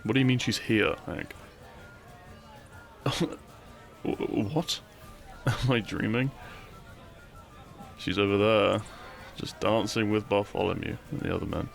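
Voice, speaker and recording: A man playing a lovestruck, cheeky young bandit outlaw in an intimate romantic audio roleplay, soft and conversational, with a self-deprecating streak. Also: lowish voice, takes it slowly, vocal fry, pauses often, soft background music. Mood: flustered, teasing. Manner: soft, conversational, with sighs and chuckles.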